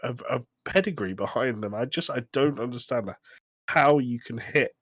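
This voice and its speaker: Male podcast co-host, medium pitch, close dry sound, opinionated casual talk. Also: moderate pace, a bit bright, dry recording.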